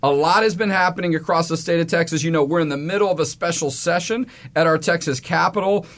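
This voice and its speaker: A man's voice: a little high, quick pace, fairly bright tone. A man hosting a studio talk show, speaking in a friendly, chatty, folksy way into a studio mic. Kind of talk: casual studio interview. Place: radio studio.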